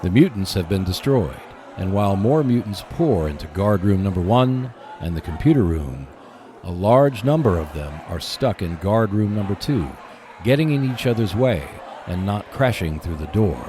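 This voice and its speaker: A man's voice: lowish voice, expressive, moderate pace, warm tone, slight background noise. A man narrating a scripted radio play as host, in a dramatic storytelling manner.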